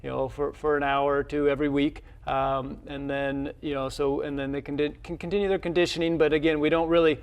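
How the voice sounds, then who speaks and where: A man with a fairly high voice talks as a college coach in a casual sports interview. He speaks haltingly, doubling back on words and restarting phrases. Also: little pitch movement, clean tone.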